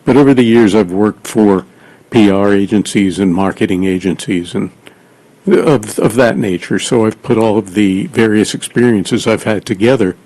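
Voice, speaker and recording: Lower-voiced guy from south florida, pr guest, tells his story smoothly. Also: moderate pace, warm tone, rough recording. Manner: fluent storyteller. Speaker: guest, PR consultant. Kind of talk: interview answers.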